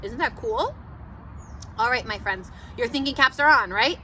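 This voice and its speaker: A woman teacher leads a read-aloud lesson for young children, high-voiced and very animated. She is encouraging and speaks slowly, with noticeable noise in the background. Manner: encouraging, asks questions and answers them. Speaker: schoolteacher.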